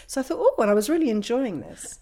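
A woman's voice: a little high, huge pitch swings, fast, dark tone. A woman in her fifties with a London accent, a podcast guest telling anecdotes in a casual, conversational way. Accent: London English. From London, England. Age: 50s. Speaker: interview guest, craniosacral therapist.

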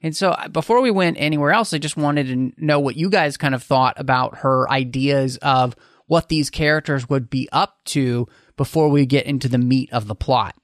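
A man hosts a casual podcast discussion with a mid-pitched voice. He talks informally and playfully, like someone among friends. The sound is dry, with very little room in it. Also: normal speed, few pauses, no room sound. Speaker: podcast host.

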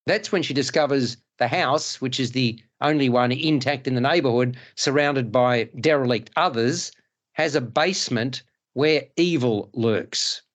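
A man's voice: medium pitch, unhurried. A male podcast host and film critic, chatting casually and reviewing films with many restarts. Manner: stammering restarts, animated.

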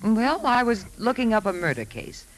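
An actor playing an inquisitive, stubbornly suspicious writer wife in a 1950s radio drama. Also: male voice, sits high, very animated, normal speed, fairly bright tone. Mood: suspicious, insistent. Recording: noisy 1950s radio broadcast recording.

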